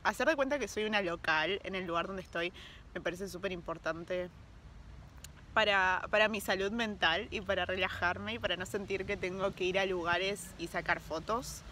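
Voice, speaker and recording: A woman in her thirties with a Rioplatense Spanish accent, chatting casually to a phone at arm's length in a leafy park, relaxed and searching for words now and then. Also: mid-range voice, normal speed, a little hiss or hum. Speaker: vlogger. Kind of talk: casual travel vlog chat. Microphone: phone held at arm's length.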